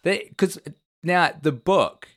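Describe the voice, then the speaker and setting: A man's voice: high voice, slow pace, a bit bright. A man with a New Zealand accent, chatting casually as a podcast guest and giving opinions in a wry, mock-earnest way.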